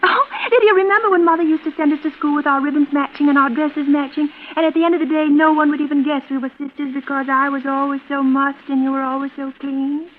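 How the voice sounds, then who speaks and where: A woman's voice: sits high, steady tone, fast talker, hardly any pauses, close to an everyday voice. An actress playing a fragile, childlike woman nearing forty in a 1940s radio suspense drama, timid, wistful and apologetic. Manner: timid, rambling, apologetic, girlish. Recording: muffled, noisy vintage radio recording.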